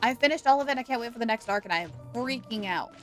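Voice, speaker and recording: A woman's voice: fairly high pitch, animated, fast, soft background music. A woman hosting a game livestream, chatting casually and reacting playfully and expressively to what happens.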